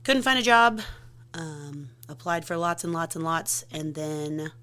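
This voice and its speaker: A woman in her thirties, a softball coach, chatting casually and self-deprecatingly in a podcast interview. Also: deep voice, a little slow. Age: 30s.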